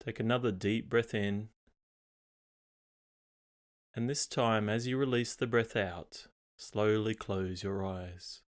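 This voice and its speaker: A man reading a scripted guided meditation in a calm, unhurried, measured way, pausing between phrases. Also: on the low side.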